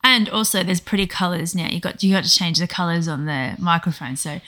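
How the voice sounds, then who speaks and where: Teasing woman co-host, bright voice. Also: on the low side. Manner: teasing, quick interjections. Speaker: podcast co-host. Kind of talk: casual chat.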